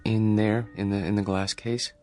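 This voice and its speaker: A man's voice: lowish voice, level tone, normal speed, warm tone, soft background music, close to an everyday voice. An actor playing a timid, earnest male loner in a radio drama, polite, hesitant and prone to stammering. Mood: puzzled, curious.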